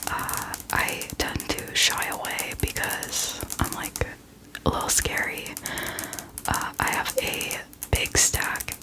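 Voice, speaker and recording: A woman hosting a casual whispered ASMR book chat, speaking in a soft, breathy whisper with a hesitant, self-deprecating manner. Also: poor audio. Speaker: book video host.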